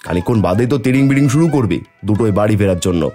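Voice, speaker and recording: Guy voicing nice honest village sari seller in a cartoon, low voice that moves around a lot, gentle n earnest. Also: lively intonation, rapid-fire, faint music behind, close to an everyday voice. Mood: fond, reasoning. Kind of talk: Bengali moral-tale cartoon dialogue.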